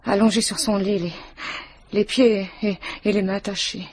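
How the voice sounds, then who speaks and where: An actress playing a chatty, flustered widowed waitress in a French radio mystery, rambling, hesitant and deferential. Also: female voice, mid-range voice, level tone, normal speed, a little mellow, husky, close to an everyday voice. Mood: upset, hesitant.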